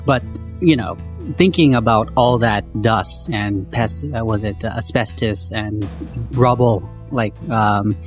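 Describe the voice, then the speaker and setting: Podcast co-host, man, hoarse from poor sleep, rambling, faint music under. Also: fairly low pitch, slow pace. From Canada. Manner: rambling, loose, many fillers and restarts. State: voice rough from lack of sleep. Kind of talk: casual chat.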